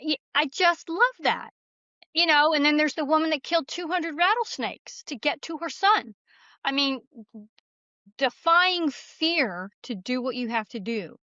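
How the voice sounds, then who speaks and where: A woman's voice: high-pitched, animated, measured pace, sharp tone, no room sound. A woman speaking as an author and podcast guest, telling stories in a casual, conversational way on a slightly lo-fi recording. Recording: slightly dull, lo-fi sound.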